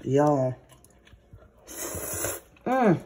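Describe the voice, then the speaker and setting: A hoarse woman chatting through a cooking vlog, addressing viewers as y'all and savoring bites with lots of hums. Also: low voice, very animated, extremely slow, mellow, a little hiss or hum. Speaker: cooking vlogger. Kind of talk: casual cooking vlog with tasting. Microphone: phone or camera mic, recording alone in a kitchen.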